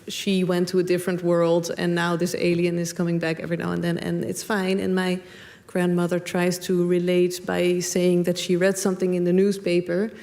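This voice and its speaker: A young woman from the Netherlands speaks in a creaky, slightly gravelly voice. She talks hesitantly and thoughtfully in an on-stage conversation, leaning on fillers as she goes. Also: on the low side, darkish tone. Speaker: panel guest.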